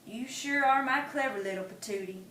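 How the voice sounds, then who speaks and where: A woman's voice: high voice, normal speed, fairly bright tone, a little hiss or hum. A woman voicing a loving mother possum who scolds and dotes on her child, in an echoey room. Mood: fussing. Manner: scolding, doting. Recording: echoey room, mic at a distance.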